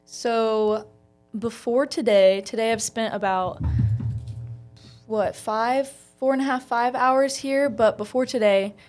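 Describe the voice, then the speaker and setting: A woman's voice: fairly high pitch, steady tone, slow pace, darkish tone, faint music behind. A young woman from Texas chatting casually as a student guest, often dropping "like" into her talk. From Texas, United States. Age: young adult. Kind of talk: casual chat.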